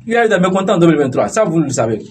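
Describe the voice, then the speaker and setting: Insistent Beninese man, slightly high voice. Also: very animated, racing, darkish tone, a little hiss or hum. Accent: Beninese French. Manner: insistent, repeats phrases to hold the floor. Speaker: political party activist debating as a guest. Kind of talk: heated political debate.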